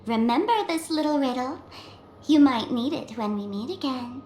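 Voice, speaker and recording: A woman's voice: sits high, expressive, normal speed, mellow, reverberant, faint music behind. An actress playing a sly, elegant, enigmatic woman in a video game, with a teasing, theatrical manner.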